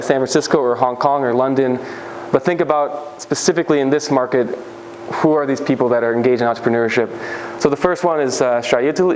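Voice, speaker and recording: A man's voice: mid-range voice, a little hiss or hum, poor audio. Casual man giving a talk. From United States. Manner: casual, many fillers and restarts. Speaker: speaker. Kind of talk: conference talk.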